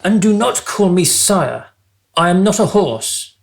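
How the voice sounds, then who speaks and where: Spoilt, high-voiced childish king. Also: lively intonation, measured pace, creaky, heavily acted. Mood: smug, imperious. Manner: pompous, petulant, theatrically posh phrasing. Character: childish, spoilt, vain, callous young king. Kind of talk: comic radio play acting.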